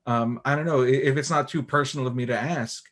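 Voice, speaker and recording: A man's voice: mid-range voice, fairly even intonation, fast, a bit bright. A man interviewing a film director, asking loose, rambling questions in a casual, friendly way.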